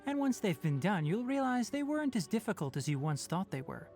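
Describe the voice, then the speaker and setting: Young male fox-eared game ranger: precise, instructive, expressive intonation, light music underneath. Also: medium pitch. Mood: firm, reassuring. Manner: matter-of-fact, measured, instructive. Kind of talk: video game voice acting.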